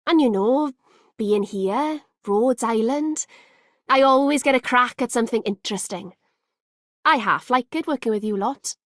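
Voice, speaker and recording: Bold carefree young game character, high voice, breezy, casual, dry studio sound. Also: female voice, a little slow, fairly bright tone, no room sound. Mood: defiant, upbeat. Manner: breezy, colloquial. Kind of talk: video game character voice lines.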